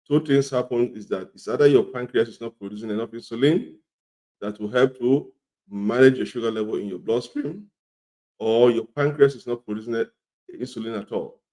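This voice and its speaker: A middle-aged man with a cold and a slightly hoarse voice, teaching an informal health lesson, repeating his points and often asking "okay?". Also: fairly low pitch, dark tone. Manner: repetitive, often checks in with "okay?". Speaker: health educator.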